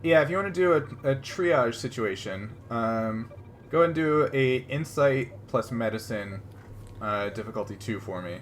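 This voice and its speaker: A man's voice: medium pitch, expressive, moderate pace, slight background noise. A man casually narrating as game master of a tabletop roleplaying game, feeling his way through his phrases in conversation.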